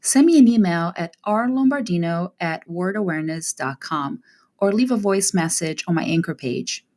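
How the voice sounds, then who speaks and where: Lady in her 40s reading her podcast script, kinda low voice that moves around a lot. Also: lively intonation, a little mellow. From Brazil. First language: Portuguese. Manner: reads a prepared script in a measured, explanatory way. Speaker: podcast host, translator.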